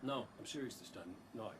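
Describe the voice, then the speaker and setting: Animated, wisecracking robot gunslinger. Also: male voice, mid-range voice, a little fast. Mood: excitable. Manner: animated, informal, jokey. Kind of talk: video game voice acting.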